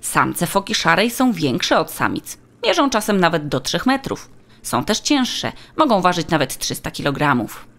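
A helpful female tour guide in a children's audiobook, played by a woman, with a slightly low and animated voice. She explains things politely and informatively. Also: moderate pace.

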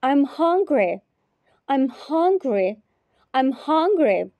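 A woman teacher repeating short phrases slowly and carefully in a repetition drill. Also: high voice, sing-song, darkish tone, no echo. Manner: repeats each phrase three times, carefully.

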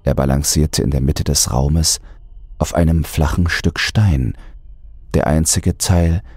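Very deep, husky male horror narrator. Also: dark tone, no room sound. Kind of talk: scripted horror audiobook narration.

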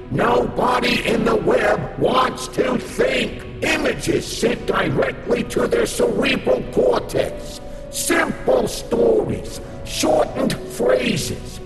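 Alien overlord, swooping delivery, cold and commanding, sci-fi radio drama, reverberant hall, music behind. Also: male voice, very high voice, exaggerated voice. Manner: commanding, declamatory proclamations. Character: imperious alien hive leader, cold and grandiose.

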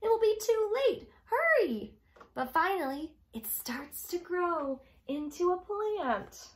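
A young woman hosts storytime for little kids, reading a picture book aloud in a high voice. Her delivery is slow and very expressive, with big swings in pitch as she speaks directly to her young listeners. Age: young adult. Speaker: storytime host reading to children. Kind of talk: animated children's picture book read-aloud. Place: small room at home, indoors.

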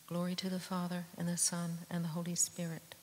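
A woman intoning psalms and prayers in a steady monotone, with soft whispery edges on her words. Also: fairly low pitch, brisk. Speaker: liturgical reader. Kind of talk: solemn psalm reading. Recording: echoey church hall with hiss.

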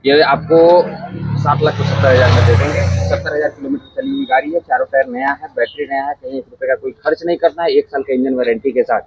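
A man, a used car dealer giving an insistent sales pitch outdoors on a car lot. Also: animated, noisy background. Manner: fast, insistent sales patter with filler phrases.

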